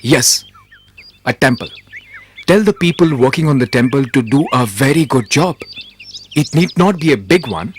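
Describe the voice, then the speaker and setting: A man acting a wise, steadfast royal minister in a respectful, earnest manner for an animated moral tale. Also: medium pitch, moderate pace, pauses often, a little hiss or hum, close to an everyday voice. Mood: brisk, instructing.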